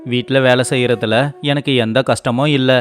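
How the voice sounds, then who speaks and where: A man voicing a poor, devoted rickshaw driver in a storybook tale, earnest, gentle and pleading in manner. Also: mid-range voice, steady tone, moderate pace, natural voice. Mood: tender, reassuring.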